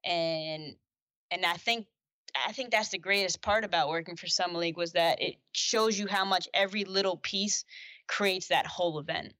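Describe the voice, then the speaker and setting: A chatty young woman talking as a podcast guest, her voice bright and forward with a slightly low pitch. She speaks casually, full of restarts and fillers. The sound is close and dry. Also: moderate pace, no echo. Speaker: podcast guest, basketball staff. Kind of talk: casual interview conversation.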